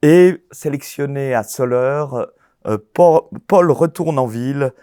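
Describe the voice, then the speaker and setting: Halting, hesitant man hosting an interview. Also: medium pitch, dark tone, smooth, dry recording, studio quality. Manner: halting, many fillers and restarts. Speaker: festival host interviewer.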